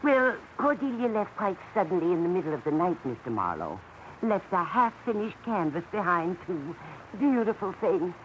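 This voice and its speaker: A woman's voice: on the low side, very animated, moderate pace. A woman playing a genteel, chatty older landlady, musing and theatrical, in 1940s radio style. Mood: wistful. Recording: noisy vintage radio drama recording.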